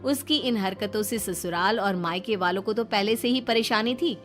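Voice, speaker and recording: A woman's voice: medium pitch, normal speed, a bit bright. A woman reading scripted narration for a moral story, with music underneath.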